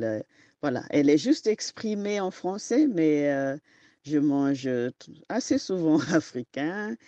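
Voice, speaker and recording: A woman author and storyteller, whose first language is not French, answering interview questions in a halting way that keeps restarting. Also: deep voice, warm tone, dry recording, dull audio. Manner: hesitant, halting, often restarts and searches for words.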